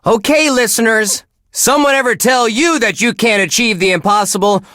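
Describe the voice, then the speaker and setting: An actor playing a relentlessly cheerful, wholesome radio DJ on a metal station in a video game, with chirpy, earnest patter. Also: male voice, very high-pitched, normal speed, bright, forceful tone, no echo, studio quality. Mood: sunny, cheerful. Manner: chirpy, earnest, gee-whiz exclamations. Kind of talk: upbeat radio DJ patter, scripted.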